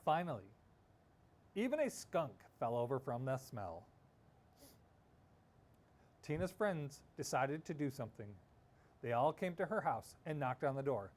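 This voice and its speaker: A man reading a children's story aloud expressively, with theatrical delivery and dramatic pauses. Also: mid-range voice, sing-song. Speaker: storybook reader.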